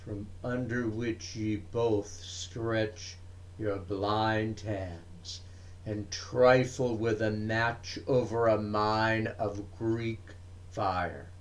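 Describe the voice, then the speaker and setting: A man giving a dramatic recitation of poetry, with deliberate, emphatic phrasing and frequent pauses. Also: fairly low pitch, steady tone. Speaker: reader of poetry.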